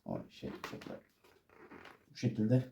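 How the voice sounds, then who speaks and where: A man's voice: moderate pace, warm tone, a little hiss or hum. A man giving a casual hands-on tutorial, explaining informally step by step as he works. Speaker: DIY tutorial presenter.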